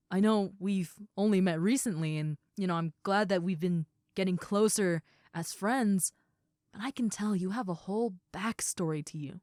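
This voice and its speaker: A woman playing a big, caring female ogre roommate in an intimate comfort roleplay, speaking in a soothing, earnest way with pauses and soft moments. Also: mid-range voice, dry recording, clean studio sound, natural voice. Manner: soothing, earnest, hushed at times, with pauses and sighs. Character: gentle, caring seven-foot-six muscular female ogre roommate.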